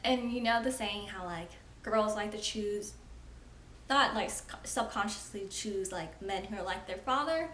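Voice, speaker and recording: A young woman chats straight to camera in a loose, frank vlog voice, leaning on "like" as she thinks aloud. Her voice sits at an ordinary middle pitch for a woman. The room rings with a noticeable echo, and a faint hum of ambient noise sits underneath. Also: unhurried, fairly bright tone. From United States. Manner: chatty, frank, frequent "like" fillers. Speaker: vlogger. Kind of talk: casual talking-to-camera vlog.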